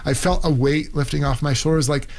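A man's voice: fairly high pitch, steady tone, a little fast, vocal fry, darkish tone. A middle-aged man telling his own story conversationally, acting out little quoted asides as he goes. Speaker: interviewee, immigrant doctor.

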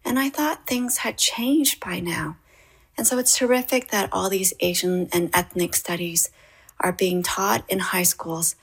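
A woman's voice: lowish voice, unhurried, gravelly, breathy edge. A middle-aged woman from Toronto with a Canadian accent, telling a personal story as an interviewed playwright. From Canada, raised in Toronto.

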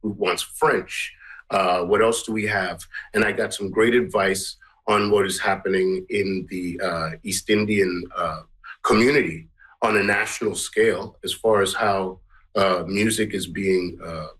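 A man's voice: sits low, fairly even intonation, unhurried, fairly bright tone. Earnest, rambling middle-aged man. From Guyana. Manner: earnest, rambling, many restarts. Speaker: musician and composer. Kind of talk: interview answers.

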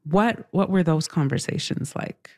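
A woman hosting an interview speaks in a fairly low voice. She asks gentle, probing questions and stumbles over the first word of a phrase now and then. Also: lively intonation, a little slow, gravelly.

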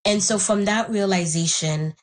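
A woman's voice: medium pitch, moderate pace. A woman from Haiti whose first language is Haitian Creole, telling a personal story in a reflective, hesitant way. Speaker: guest.

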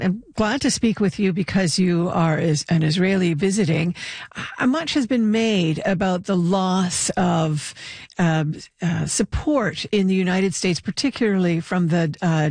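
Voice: medium pitch, creaky, a little mellow, no room sound. A talk show host interviewing a caller and a guest, speaking hesitantly with many fillers.

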